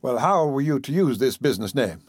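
A man voicing a composed young Southern prosecuting attorney, questioning in formal, measured speech. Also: medium pitch, animated, breathy edge, dry recording, clean studio sound.

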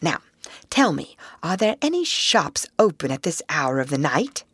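A woman voicing a male water rat from a storybook, hearty and bustling, with a brisk, commanding and approving manner. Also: low voice, big pitch swings, a bit bright, slightly rough, no room sound, clean studio sound, exaggerated voice. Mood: masterful, brisk.

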